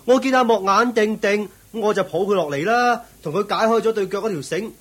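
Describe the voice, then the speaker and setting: A fretful young disciple in a Hong Kong Cantonese radio drama. His high, slightly rough voice reports anxiously and deferentially to his master. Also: a little fast, fairly bright tone. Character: anxious junior ascetic disciple, naive and deferential. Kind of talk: Buddhist radio drama acting.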